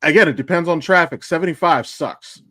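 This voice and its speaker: A man's voice: on the high side, brisk, pushed and bright, no echo, clean studio sound. An American man co-hosting a podcast in casual, rambling, sweary chat. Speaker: podcast co-host and voice actor.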